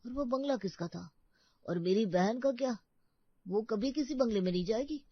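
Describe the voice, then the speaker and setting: A woman's voice: a little high, expressive, normal speed, husky, dry recording, lo-fi sound. Woman as earnest, suspicious young brother.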